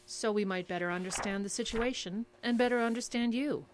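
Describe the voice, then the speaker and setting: An actress playing a controlled, secretive interrogator in an audio drama, measured and coolly insistent with clipped questions. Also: female voice, a little high, slight background noise, natural voice, low-quality recording. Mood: cool, probing.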